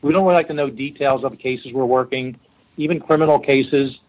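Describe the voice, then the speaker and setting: A man's voice: mid-range voice, steady tone, brisk, narrow, tinny audio. A middle-aged man with a Philadelphia accent, a forensic linguist telling stories in an interview, rambling with many fillers and restarts. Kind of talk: casual interview storytelling.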